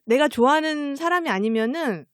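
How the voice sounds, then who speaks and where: Female panelist, high lively voice, opinionated casual chat. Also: fairly quick, crowd noise. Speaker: talk show panelist.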